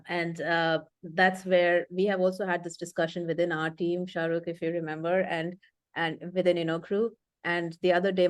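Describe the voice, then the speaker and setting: A woman's voice: on the low side, no echo. A woman leadership coach explaining concepts thoughtfully in conversation, with frequent fillers and restarts.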